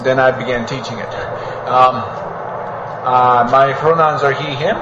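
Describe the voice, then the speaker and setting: Man panelist, mid pitch, dry wit; echoey outdoor recording with noise. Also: fairly even intonation, measured pace. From Netherlands. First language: Dutch. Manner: joking, wry, hesitant. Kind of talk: casual self-introduction. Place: outdoor public event. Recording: echoey, dull outdoor mic with clear noise.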